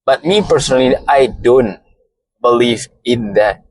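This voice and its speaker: A man with a Malaysian accent, a native Malay speaker, giving a slow, deliberate motivational talk and often repeating phrases. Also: medium pitch, darkish tone, breathy edge, no room sound, low-quality recording. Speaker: podcast host.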